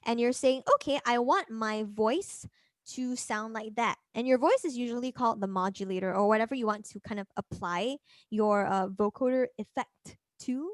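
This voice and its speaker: High-voiced woman giving an informal demo. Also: dry recording. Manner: chatty, thinking aloud. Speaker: presenter of a music production workshop. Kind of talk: informal software demonstration.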